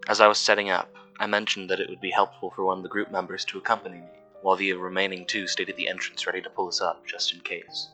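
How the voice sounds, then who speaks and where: A man acting as a weary male cave worker recording a horror audio log. His voice is low and forceful but stays flat and measured, with somber literary phrasing over instrumental music. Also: poor audio. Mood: wary. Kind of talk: scripted first-person horror podcast narration.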